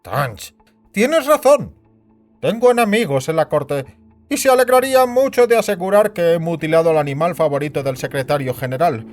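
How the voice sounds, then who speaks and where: A high, very animated voice for a scheming, melancholy boss acted by a man in an audio drama. He is bossy and worried, delivering lines with deliberate theatrical authority. Music plays underneath. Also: close to an everyday voice. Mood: conspiratorial. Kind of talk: scripted Spanish audio drama acting. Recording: music bed behind the voice.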